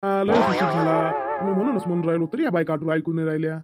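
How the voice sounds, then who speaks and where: High-voiced harried village man, acted by a man, Varhadi Marathi. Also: fast talker, music in the background, lightly acted. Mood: impatient. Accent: Varhadi Marathi from Vidarbha. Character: harried village family man hurrying everyone along. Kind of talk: cartoon comedy dialogue.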